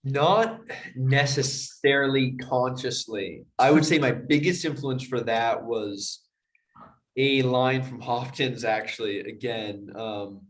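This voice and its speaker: A man talking as a poet answering questions in a thoughtful, measured and slightly tentative way. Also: mid-range voice. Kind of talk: thoughtful, casual discussion.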